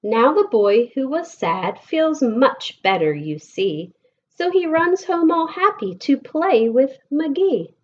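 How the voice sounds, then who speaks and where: A woman with an American accent reads a picture book aloud to children with expressive, deliberate storybook phrasing, like a schoolteacher. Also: sits high, muffled recording. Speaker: elementary school teacher reading aloud.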